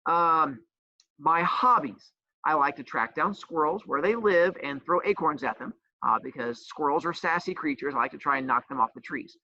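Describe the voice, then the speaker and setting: A man's voice: on the high side, normal speed, dry recording, dull audio. A man teacher who also coaches, explaining a homework assignment with playful jokes and teasing. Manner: says he has a loud voice.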